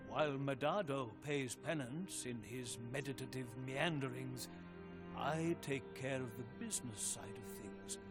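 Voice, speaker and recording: An actor playing a pious wandering peddler in a video game, talking in flowery, obsequious sales patter. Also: male voice, mid-range voice. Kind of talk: scripted game character dialogue. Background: music.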